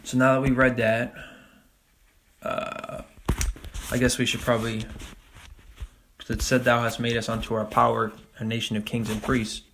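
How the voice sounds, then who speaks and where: A man's voice: mid-range voice, monotone, creaky, fairly bright tone, a little hiss or hum, poor audio. Man preaching casually from scripture. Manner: casual preaching with frequent "man" and "all right?"; reads scripture slowly and deliberately. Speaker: religious teacher. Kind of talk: Bible study sermon.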